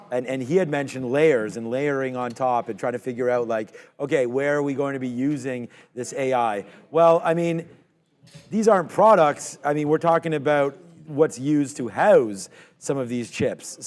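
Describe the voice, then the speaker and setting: A man co-hosting a live trading show, talking through his trades in a relaxed, conversational way with lots of fillers. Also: mid-range voice. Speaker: stock trading show co-host. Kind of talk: live market commentary.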